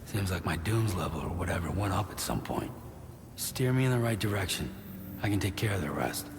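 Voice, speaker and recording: A laconic male courier in a game cutscene, self-assured and low-key. His lowish voice comes in terse, casual phrases, with long reverb and clear noise around it. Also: lively intonation, brisk. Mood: calm, confident. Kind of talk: video game cutscene dialogue. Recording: noisy, reverberant hall.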